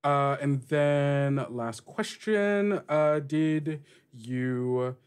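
Guy running a tabletop game podcast, kinda higher voice, casual chatty narrating. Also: extremely slow, smooth. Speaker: game master and podcast host. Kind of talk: casual actual-play game narration and chat.

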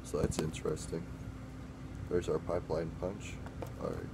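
A man's voice: sits low, moderate pace, vocal fry, background noise. A young man casually taste-testing drinks on camera in a small room, rambling and correcting himself as he talks. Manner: rambling, self-correcting, excitable when tasting. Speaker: drink-mixing vlogger. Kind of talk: casual taste-test vlog. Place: small dim room indoors.

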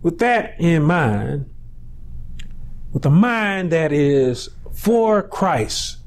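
A pastor in his forties preaching a sermon in a small indoor room on a lapel mic, building to shouted peaks between slow, drawn-out phrases. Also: fairly high pitch, very animated.

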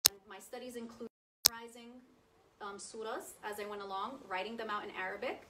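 A woman's voice: mid-range voice, fairly even intonation, fairly quick, heavy background noise. Chatty woman speaking from across a room. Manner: chatty, conversational, checks in with listeners. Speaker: guest speaker. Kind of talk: personal testimony talk to an audience. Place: meeting room with projector screen. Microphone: far camera, speaking seated at a table. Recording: distant roomy sound from across the room.

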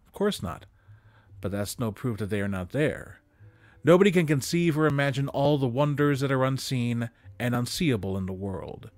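A man reading classic prose aloud expressively, with measured, dramatic emphasis, close to a desk microphone. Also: medium pitch, expressive, darkish tone, dry recording. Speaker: reader of holiday stories aloud. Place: small indoor room with bookshelves. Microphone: desk condenser microphone, close. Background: music.

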